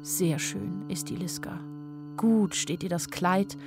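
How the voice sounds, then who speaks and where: An anxious young woman with a fairly low voice, telling her own story. Her narration is wistful, observant and literary, in radio play style, with music clearly behind it. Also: takes it slowly. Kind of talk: radio drama first-person narration.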